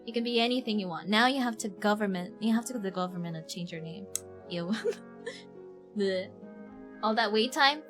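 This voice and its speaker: A woman livestreamer chatting casually and slangily as she plays a game, rambling along in a giggly, animated way. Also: medium pitch, moderate pace, long pauses. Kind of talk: casual livestream chat while playing a game. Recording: game music behind the voice. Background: video game music.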